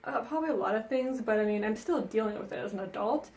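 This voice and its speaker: A woman's voice: a little high, fast talker, a little mellow, reverberant. A young woman who grew up speaking Danish, answering viewer questions in a chatty vlog, with frequent ums and likes. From Denmark. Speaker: vlogger. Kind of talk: casual Q&A vlog.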